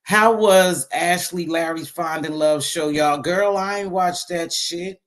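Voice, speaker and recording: A middle-aged woman with a deep voice hosting a casual live stream, talking bluntly and sassily to her viewers. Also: slow pace. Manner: blunt, sassy, talks straight to viewers. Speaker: live stream host reading chat.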